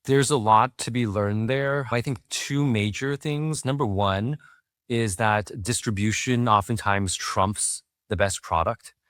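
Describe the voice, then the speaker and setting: A man from Taiwan with a low voice answers interview questions. He speaks in a thoughtful, measured way, often adding "I think." The sound is close and dry. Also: fairly bright tone, no room sound. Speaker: guest investor.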